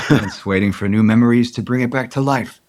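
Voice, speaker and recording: An actor playing a wistful wealthy property developer in an audio soap opera, speaking tenderly and haltingly. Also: male voice, mid-range voice, natural voice. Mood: wistful, hesitant. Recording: music bed under the dialogue.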